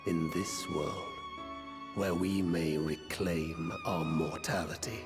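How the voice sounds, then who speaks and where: A man voicing a grave, weary otherworldly villain in a video game, speaking deliberately and portentously. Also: deep voice, steady tone. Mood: grim, resolute. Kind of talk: scripted video game dialogue. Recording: sad music behind the voice.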